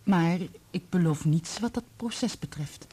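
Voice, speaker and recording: An actress in a Dutch radio play playing a composed, candid divorced woman who speaks in a measured, probing way. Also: on the low side, warm tone, breathy edge. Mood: candid, reflective.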